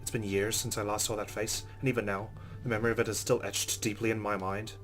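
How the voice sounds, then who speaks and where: Quick-talking, low-pitched man narrating a game. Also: level tone, a bit bright, faint music behind. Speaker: let's play host. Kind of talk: reading game narration aloud, with casual asides. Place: recorded voice-over with game music behind.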